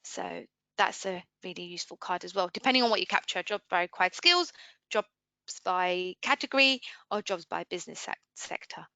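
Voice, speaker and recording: A woman giving an informal software demo as a webinar trainer, explaining conversationally and putting questions to listeners. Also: on the low side, animated, normal speed, gravelly, dry recording. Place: indoors, screen-share webinar voice-over.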